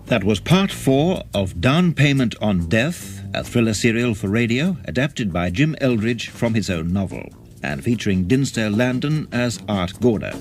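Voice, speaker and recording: A man with a British accent reading a formal scripted announcement as a radio continuity announcer. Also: mid-range voice. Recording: loud theme music behind the voice.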